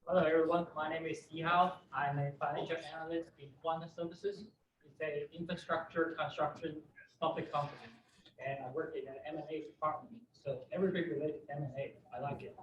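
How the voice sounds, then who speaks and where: A man's voice: on the high side, fairly even intonation, a little fast, slight background noise. A man introducing himself as a financial analyst, in plain, simple phrasing. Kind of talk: self-introduction at a business meeting. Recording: dull, distant, echoey room.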